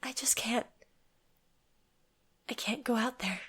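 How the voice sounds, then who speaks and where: Girl acting scared young opera singer, high bright voice, hesitant, audio drama. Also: takes it slowly, breathy edge, heavily acted. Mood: frightened. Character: anxious, frightened young female opera singer.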